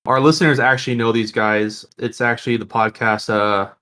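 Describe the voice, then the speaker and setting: A man's voice: medium pitch, fairly even intonation, moderate pace, sharp tone, smooth. A man co-hosting a podcast in casual, rambling, jokey conversation. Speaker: podcast co-host.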